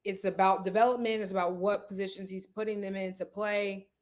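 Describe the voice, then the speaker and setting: A woman co-hosting a live sports talk show, chatting casually and animatedly with quick asides. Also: mid-range voice, level tone. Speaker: podcast co-host.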